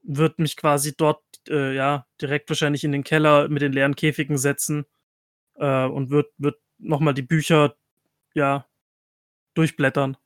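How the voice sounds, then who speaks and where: Guy playing a tabletop game, voice a bit high, thinks out loud, dry sound. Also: level tone, moderate pace, no room sound. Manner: thinks aloud, explains reasoning. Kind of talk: casual game-table talk.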